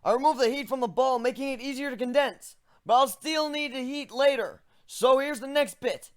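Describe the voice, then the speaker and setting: A man voicing a young male griffin mage who lectures excitedly and chants spell words slowly. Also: very high-pitched, voice pushed up. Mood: eager explaining. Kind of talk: dramatic fan reading.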